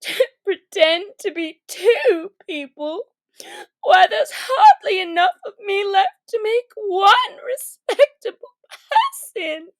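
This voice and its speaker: A woman's voice: extremely high pitch, big pitch swings, takes it slowly, pitched above the performer's own voice, full character voice. A woman voicing a curious, earnest young girl who talks to herself in exclamations in a children's storybook. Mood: tearful despair. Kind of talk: expressive children's storybook character reading.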